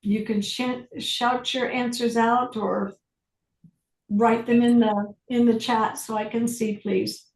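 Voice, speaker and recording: A woman facilitating an online group session, guiding people through questions in a calm, slow, deliberate way. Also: on the high side, roomy sound, rough recording. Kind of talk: guided inquiry on a group video call.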